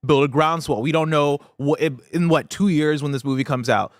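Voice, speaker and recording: A man's voice: a little high, moderate pace, no room sound. Man chatting through games news, dry close sound. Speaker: podcast host. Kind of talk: casual games news discussion.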